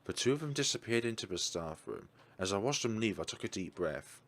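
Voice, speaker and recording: A man's voice: fairly low pitch, expressive, fairly quick, a bit bright. A man reading a visual novel's narration aloud in a tense, anxious manner.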